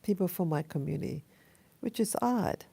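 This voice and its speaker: A woman in her late fifties with an American accent, speaking haltingly and reflectively in a casual personal vlog, her voice hoarse from having just woken up. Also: on the low side, lively intonation, warm tone. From Queens, New York City, USA. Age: 50s, almost sixty. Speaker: vlogger. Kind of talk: casual personal dream journal.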